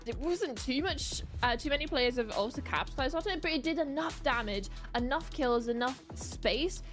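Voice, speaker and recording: A woman's voice: high voice, expressive, moderate pace, soft background music. A woman giving live analytical commentary on an esports match in a conversational, explanatory way.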